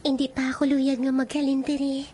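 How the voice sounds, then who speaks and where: A woman's voice: high voice, completely flat delivery, fairly quick, mellow, lightly acted. An actress playing a young bird princess, girlish and earnest, in a Filipino-accented radio drama. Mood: sweet, coy. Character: young bird princess, sweet yet determined. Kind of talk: Philippine radio drama acting. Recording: faint music behind the voice.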